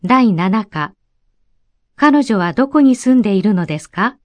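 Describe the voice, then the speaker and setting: A woman announcing lesson numbers and example sentences in a crisp, brisk way. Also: medium pitch, lively intonation, no room sound.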